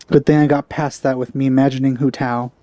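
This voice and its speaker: A man's voice: mid-range voice, little pitch movement, fairly quick, mellow, no echo. A man giving casual let's play commentary, with dry, grumbling asides. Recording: game music behind the voice.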